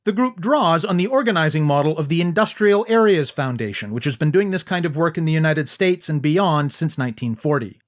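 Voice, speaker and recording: A man hosting a radio show, reading a scripted introduction in a measured, clear way. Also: a little high. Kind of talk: scripted narration.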